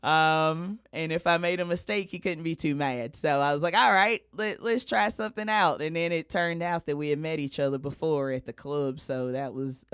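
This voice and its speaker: A man's voice: a little high, level tone, normal speed, hardly any pauses, fairly bright tone, smooth, dry recording, clean studio sound. A man chatting casually and telling stories as a podcast co-host, often chuckling as he talks. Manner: often chuckles while talking. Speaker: podcast co-host, audio engineer. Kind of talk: casual chat, storytelling.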